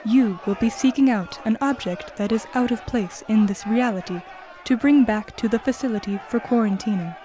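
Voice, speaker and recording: A woman playing a calm, formal artificial guide in an audio drama, speaking in a measured, precise, deadpan way. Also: a little high, some chatter behind, close to an everyday voice. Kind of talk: scripted audio drama acting.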